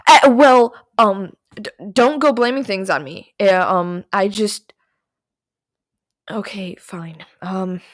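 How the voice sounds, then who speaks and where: A woman's voice: medium pitch, no room sound, studio quality. A woman voicing a mischievous male trickster witch who teaches potion-making in an audio roleplay. The witch snickers, teases and stumbles over hesitant fillers. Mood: defensive, grudging. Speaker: potion tutor.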